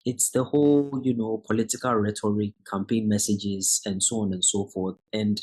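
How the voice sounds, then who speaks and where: A man's voice: on the low side, fairly even intonation, normal speed, a little mellow, rough recording. A man speaking as a guest educator on a podcast, thinking aloud and often restarting his phrases. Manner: reflective, restarts phrases, rising emphasis on key words. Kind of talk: podcast conversation.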